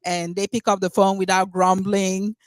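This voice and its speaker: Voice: medium pitch, little pitch movement, normal speed, no room sound. Chatty podcast host, dry close sound. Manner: warm-hearted, rambling, with frequent restarts and laughs. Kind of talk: casual conversational interview.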